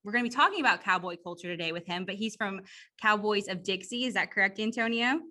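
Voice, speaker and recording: Bright, upbeat woman hosting a podcast. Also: medium pitch, fast talker. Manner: upbeat, enthusiastic. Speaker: podcast host. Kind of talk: casual interview.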